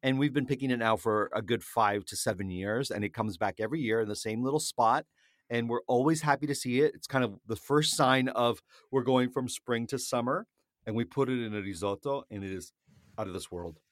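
A man's voice: medium pitch, a little fast, no echo, studio quality. A man co-hosting a casual food chat, rambling happily through stories. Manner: chatty, rambling storyteller. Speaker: podcast co-host.

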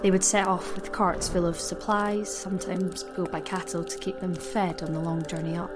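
A woman playing a solemn chronicler of a colossal tower, narrating scripted audio fiction in a measured, grave manner. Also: fairly low pitch, little pitch movement, over music, close to an everyday voice.

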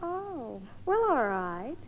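An actress playing a shy, naive college-age daughter in a 1950s radio comedy, speaking demurely and hesitantly. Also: female voice, sits high, sing-song, slow pace, exaggerated voice. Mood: reluctant. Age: young adult. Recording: muffled 1950 radio broadcast.

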